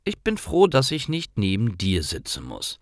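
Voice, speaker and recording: A man voicing a kind, frank schoolgirl in a learners' storybook, speaking with quiet firmness. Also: on the low side, huge pitch swings, moderate pace, dry recording, clean studio sound. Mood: quietly defiant. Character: kind, frank new schoolgirl standing up for classmate.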